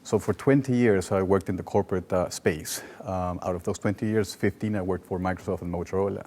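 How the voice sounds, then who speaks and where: Low-voiced Peruvian man telling his story. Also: fairly even intonation, fairly quick, a little mellow. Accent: Peruvian Spanish. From Peru. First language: Spanish. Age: 40s. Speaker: well-being coach and guest. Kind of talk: conversational personal storytelling in an interview.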